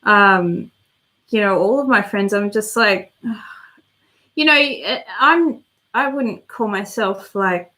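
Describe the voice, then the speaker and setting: A woman's voice: mid-range voice, slow, pauses often, bright, forceful tone. Chatty woman on a live stream. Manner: chatty and meandering, thinking aloud. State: slightly congested, voice a bit worn. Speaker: YouTube creator hosting a live stream. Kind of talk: casual live-stream chat answering viewer comments.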